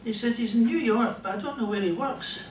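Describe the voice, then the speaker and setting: An older man with a Scottish accent telling jokes and a rambling story at a stand mic on a small club stage, in a dry, unhurried way. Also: extremely high pitch, a little hiss or hum. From Scotland. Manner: dry, rambling joke delivery with pauses. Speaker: comedian and musician. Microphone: stand mic, filmed from a distant camera. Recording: roomy club sound from far off.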